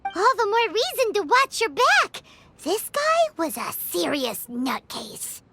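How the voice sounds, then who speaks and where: A woman voicing a small, excitable childlike fairy companion in a video game, chirpy and exclamatory, with a squeaky voice. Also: slow, no echo, heavily acted. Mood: alarmed. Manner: exclamatory, dramatic.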